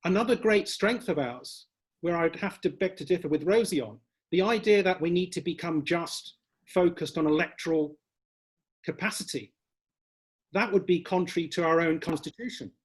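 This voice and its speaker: A man speaking as a leadership candidate at a hustings, measured and slightly formal in his debating. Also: fairly high pitch, slightly rough. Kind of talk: hustings speech.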